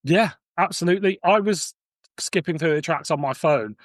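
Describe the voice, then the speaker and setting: A man's voice: on the high side, normal speed, no room sound, studio quality. A man chatting casually on a podcast, musing informally as he talks. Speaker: podcast speaker. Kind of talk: casual chat.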